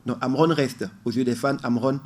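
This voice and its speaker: A man's voice: mid-range voice, steady tone, normal speed. A man being interviewed as a musician guest in a radio studio, explaining his ideas in an earnest, emphatic way.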